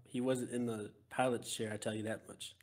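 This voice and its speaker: A man's voice: mid-range voice, little pitch movement, moderate pace, a little mellow. A man chatting casually as a player in a tabletop role-playing game, hesitant and joking.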